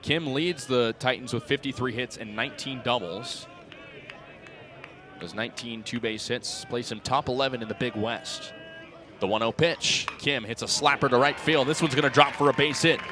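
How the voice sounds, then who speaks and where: Man in his twenties, radio baseball play-by-play, mid-pitched voice, clipped descriptive calls, outdoor ballpark crowd behind. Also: normal speed. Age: 20s. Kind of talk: live radio sports commentary.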